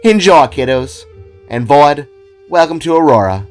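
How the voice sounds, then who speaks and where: Man voicing a lively motherly owl lady. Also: fairly high pitch, unhurried, pitched above the performer's own voice. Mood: kindly. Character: kindly motherly large female owl baker. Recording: music behind the voice.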